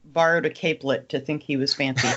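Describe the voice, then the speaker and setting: A woman's voice: low voice, fairly even intonation, fast, fairly bright tone, highs cut off. A woman talking casually about books as a podcast panelist. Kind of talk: casual book discussion.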